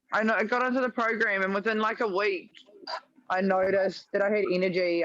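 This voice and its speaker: A woman's voice: lowish voice, a bit bright, no echo, muffled recording. A woman in her thirties chatting on a group video call, telling her own story in an upbeat, rambling way with fillers. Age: 30s. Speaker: member sharing a story.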